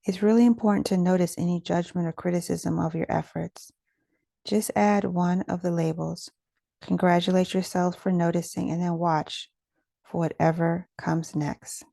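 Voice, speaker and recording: A woman leading a guided meditation in a gentle, soothing, measured way, with pauses between phrases. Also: lowish voice, fairly even intonation, gravelly, no room sound.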